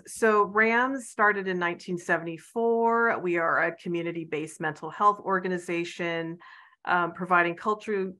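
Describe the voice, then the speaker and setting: Bright-voiced woman presenting at a conference. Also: lowish voice. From United States. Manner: friendly, warm presenting with fillers. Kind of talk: conference talk over video call.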